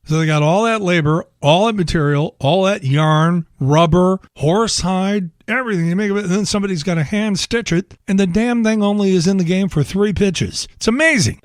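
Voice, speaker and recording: Hoarse middle-aged host. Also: male voice, high voice, dry recording, clean studio sound. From south side of Chicago. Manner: rambling, wry, chuckling asides. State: hoarse from laryngitis. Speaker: radio show host. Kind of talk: casual talk monologue.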